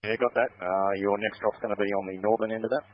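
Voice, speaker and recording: A man's voice: on the low side, fairly even intonation, fast, dry recording. A man giving terse two-way radio read-backs as a firefighting pilot. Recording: muffled dry two-way radio.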